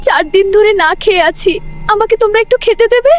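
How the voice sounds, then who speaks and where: Very high-pitched pleading little girl. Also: fairly quick. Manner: pleading, plaintive. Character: pleading orphan little girl, a ghost in disguise.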